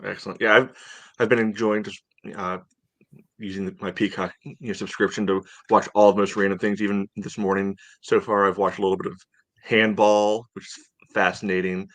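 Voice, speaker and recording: Low-voiced American man, dry close sound. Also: little pitch movement, a little fast, no echo. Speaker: sports podcast co-host. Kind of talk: casual sports chat.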